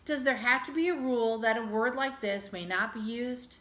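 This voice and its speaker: Storybook mother, voiced by a woman reader: sensible, firm, direct, higher female voice, strong room echo. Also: moderate pace, fairly bright tone, lightly acted. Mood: questioning. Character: protective, reasonable, firm mother in a children's storybook. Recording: echoey room.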